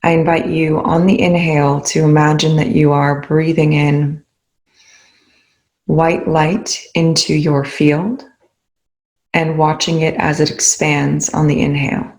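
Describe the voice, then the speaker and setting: A woman leading a guided meditation in slow, measured phrases with pauses between them. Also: low voice, little pitch movement, darkish tone.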